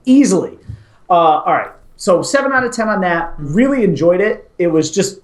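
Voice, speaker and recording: A man's voice: high voice, lively intonation, moderate pace, reverberant. A man co-hosting a casual movie talk show, giving his ratings in an enthusiastic, storytelling way. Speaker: podcast co-host, movie reviewer. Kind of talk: casual movie review chat.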